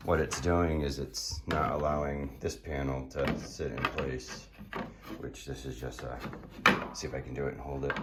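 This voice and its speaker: Very deep-voiced hoarse man in workshop. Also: little pitch movement, very noisy. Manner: halting, explanatory, many fillers and restarts. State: hoarse, losing his voice. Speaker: car builder showing his project. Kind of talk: casual workshop walkthrough. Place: garage workshop.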